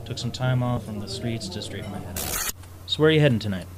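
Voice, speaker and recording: A man's voice: lowish voice, fast, a loud crowd, lightly acted. A man acting a weary, plain-spoken night-shift driver in an audio drama, casual and subdued. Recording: loud vehicle noise.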